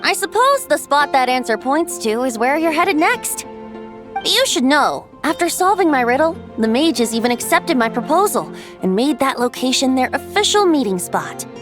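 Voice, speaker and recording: A woman's voice: high-pitched, big pitch swings, normal speed. A woman voicing a cheerful boyish bard god. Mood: playful. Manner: cheerful, teasing, laughs often. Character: playful young male bard god. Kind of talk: game voice acting. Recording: game audio with music behind.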